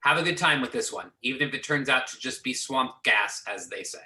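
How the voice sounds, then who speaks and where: A man's voice: mid-range voice, animated, brisk, bright, forceful tone. A man playing a businesslike agency boss briefing agents, reading in an amateur readers theater over a video call. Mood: matter-of-fact. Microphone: video or conference call. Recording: echoey room over video conference.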